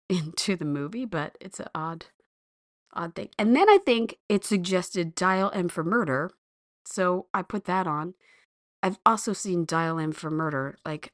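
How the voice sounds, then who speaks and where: A woman podcast host with a slightly hoarse voice, rambling in casual chat with a self-deprecating touch. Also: on the low side, dry recording, studio quality.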